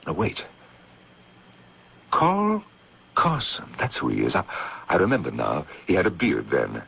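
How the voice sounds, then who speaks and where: An actor playing a dogged, indignant magazine editor with formal, articulate delivery in a 1970s radio drama. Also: male voice, deep voice, wildly swooping pitch, unhurried, creaky, slightly rough, exaggerated voice. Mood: brooding, urgent. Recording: muffled, hissy old radio recording.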